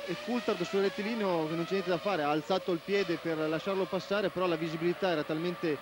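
Male TV race commentator, high voice, live motorsport call, archive broadcast, loud car noise behind. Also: steady tone, fast talker.

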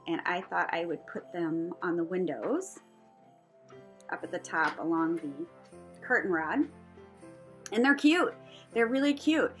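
A woman in her fifties giving a casual, rambling, chatty voice-over for a home vlog, prone to chuckling. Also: lowish voice. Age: 50s. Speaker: craft vlogger. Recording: music behind the voice. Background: music.

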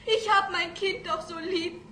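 Very high-voiced anxious young queen. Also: steady tone, a little slow, pushed and bright, exaggerated voice. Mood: weeping, pleading. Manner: tearful, theatrical, imploring. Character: anxious, pleading young queen, a miller's daughter. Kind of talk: 1960s fairy-tale radio play. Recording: old record, faint noise.

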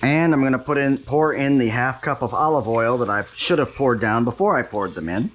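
A man's voice: medium pitch, expressive, a little fast. Wry, chatty man cooking at home. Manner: wry, self-deprecating, chatty asides. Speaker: cooking show host. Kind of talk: casual cooking demonstration. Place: home kitchen, stovetop.

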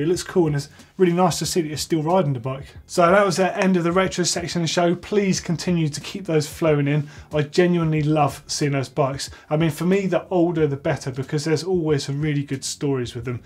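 Husky-voiced man, chatty host. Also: fairly high pitch, fairly even intonation, fairly quick, hardly any pauses, a little mellow. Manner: enthusiastic, chatty. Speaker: host of a bike tech show. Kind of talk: casual reading and reacting to viewer entries. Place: workshop with tools on the wall.

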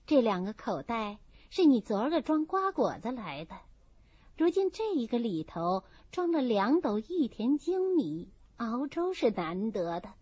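A woman as a kind, unhurried young maid. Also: a little high, sing-song, a little mellow, slightly rough, no echo, exaggerated voice. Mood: kindly, orderly. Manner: orderly, explaining, polite. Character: kind, modest young maid, practical and friendly. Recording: dull, muffled audio.

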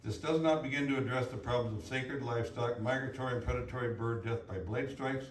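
A man reads written statements aloud at a public hearing. His voice sits at a middle pitch. The room is echoey and he sounds away from the mic, with faint noise behind. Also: distant mic. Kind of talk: reading prepared statements aloud at a public meeting.

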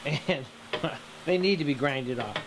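A middle-aged man giving a casual tutorial from his home metal workshop, plain-spoken and relaxed, with ums and restarts. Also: medium pitch, takes it slowly, background noise. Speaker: hobby welder teaching a project.

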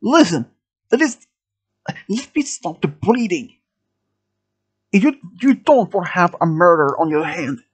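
A man's voice: high-pitched, very animated, measured pace, pauses often, breathy edge, pitched above the performer's own voice, full character voice. A man voicing a caring, desperate young man who pleads urgently to protect a wounded friend in a story. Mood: desperate, pleading.

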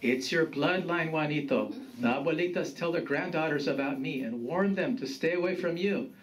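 A man's voice: a little high, moderate pace, pitched above the performer's own voice, close to an everyday voice. A man voicing a grumpy, cynical dead grandfather in a story, blunt and needling. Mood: weary, scolding. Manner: blunt, scolding, needling with questions. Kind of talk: storytelling character voice. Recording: distant mic with background noise.

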